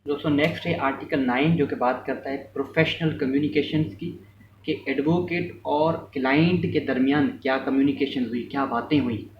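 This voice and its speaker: A man giving an informal law lesson, explaining step by step, often rephrasing and mixing in English terms. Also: medium pitch. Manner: mixes English terms and phrases into speech. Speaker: law lecturer. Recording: echoey room, distant mic, muffled, faint noise.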